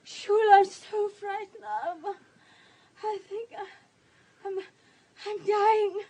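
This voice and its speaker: An actress playing a childlike young countess, weak, tearful and frightened, faltering in a radio drama. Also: female voice, very high-pitched, level tone, takes it slowly, warm tone. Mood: frightened, pleading. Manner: faltering, breathy pleading.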